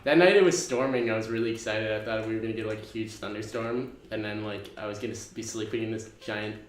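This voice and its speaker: A man's voice: lowish voice, quick pace, slight background noise. Rambling twenty-year-old guy, echoey room. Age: 20s. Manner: rambling, filler-heavy storytelling with lots of "like". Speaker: podcast co-host. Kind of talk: casual chat.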